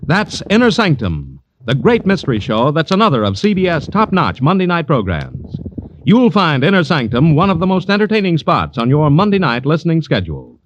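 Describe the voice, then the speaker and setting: A man's voice: on the high side, huge pitch swings, fairly quick, dull audio, slight background noise. An American man announcing radio programmes in a polished, emphatic 1940s promotional style. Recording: old 1940s radio broadcast, music behind.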